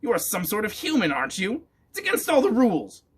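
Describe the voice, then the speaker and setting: A man voicing a shrill, bossy female witch who shrieks indignantly in a storybook reading. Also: wildly swooping pitch, fast, breathy edge, exaggerated voice.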